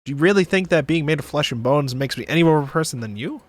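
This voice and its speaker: A man's voice: on the high side, expressive, fast talker, dry recording. Man playing caring space pilot, dry close sound. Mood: earnest, probing. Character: male space pilot narrator, wry, caring, dramatic.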